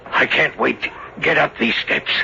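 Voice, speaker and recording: An actor playing a driven, anguished man searching a haunted mill in a radio drama, halting and earnest. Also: mid-range voice, gravelly. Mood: tense, driven. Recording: dull, faintly noisy old radio recording.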